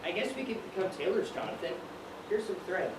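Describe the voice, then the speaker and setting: A man's voice: high-pitched, animated, a little fast, far from the mic. A teenage male student playing a nervous, doubtful young factory worker in a stage play, with anxious, exclamatory delivery. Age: teens. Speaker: student actor. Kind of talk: high school stage play acting. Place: stage in a large room, distant mic. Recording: echoey, noisy stage recording from afar.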